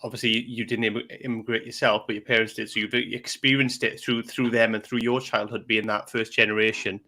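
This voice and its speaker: Host: man with a lowish, bright, forward voice, curious, stumbling over his words. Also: little pitch movement, brisk. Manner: stammering restarts, friendly and curious. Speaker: podcast host. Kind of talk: casual interview questions.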